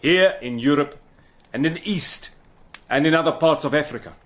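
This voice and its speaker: An actor narrating in the first person and playing a cool, persuasive British agent in a radio drama, calm and measured. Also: male voice, on the high side, close to an everyday voice. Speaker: narrator and lead character.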